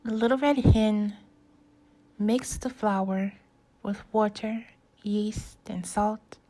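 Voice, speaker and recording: A woman teacher reading a storybook aloud to children, in a deliberate, instructive way with questions to her listeners. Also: mid-range voice, steady tone, dark tone, poor audio. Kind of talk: slow storybook read-aloud and lesson for kids.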